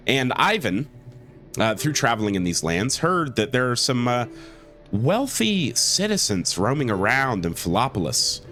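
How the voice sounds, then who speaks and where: A man's voice: medium pitch, very animated, measured pace, sharp tone, soft background music. A man hosting a strategy game playthrough, sick with a cold and talking in an easygoing way full of fillers. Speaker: let's play host. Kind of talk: gameplay commentary.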